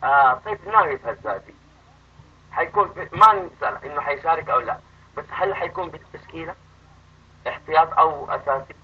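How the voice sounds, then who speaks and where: A man hosting a live chat-room interview, asking questions eagerly and quickly. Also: on the high side, animated, husky. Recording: dull lo-fi audio with faint noise.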